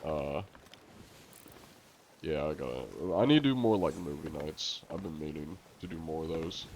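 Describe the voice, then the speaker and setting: A man chatting casually to viewers on a live game stream, congested and coughing now and then, chatty and quick to laugh. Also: rumbling low, lively intonation, a little mellow, slight background noise.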